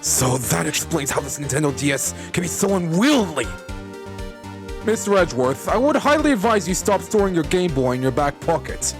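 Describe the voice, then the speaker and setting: A solo performer voicing a solemn, mildly bemused older male judge in a formal, measured way. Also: medium pitch, sing-song, music in the background, close to an everyday voice. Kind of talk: fan dub courtroom comedy, dramatic video game acting.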